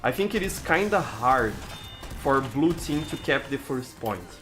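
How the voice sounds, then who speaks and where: A man's voice: medium pitch, measured pace, over music. Brazilian-accented male game streamer. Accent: Brazilian Portuguese. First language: Portuguese. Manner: relaxed, chatty, frequent restarts and "you know". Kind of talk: live gameplay commentary reading chat.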